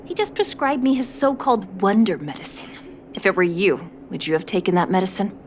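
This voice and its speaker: A woman's voice: mid-range voice, lively intonation, brisk, slight background noise, lightly acted. A woman playing an indignant, outspoken patient in a video game, blunt and accusing. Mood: indignant, scornful. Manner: blunt, accusing, rhetorical questions.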